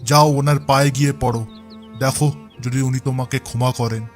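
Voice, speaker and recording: A man playing a paternal, righteous village landlord in a cartoon, expressive and admonishing. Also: medium pitch, moderate pace, faint music behind, lightly acted. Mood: disapproving. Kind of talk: cartoon voice acting.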